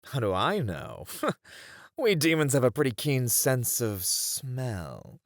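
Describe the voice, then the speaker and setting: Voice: medium pitch, wildly swooping pitch, slow pace, no echo, studio quality, full character voice. A performer voicing a playful, smugly flirtatious demon in an ASMR roleplay, with a drawling, theatrical, teasing delivery. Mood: mock pitying.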